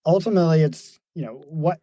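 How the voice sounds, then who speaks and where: Mellow-voiced man in his forties. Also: fairly high pitch, a little fast, no echo. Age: 40s. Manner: thoughtful, many fillers and restarts, laughs easily. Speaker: podcast guest, mountain runner. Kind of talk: reflective casual conversation.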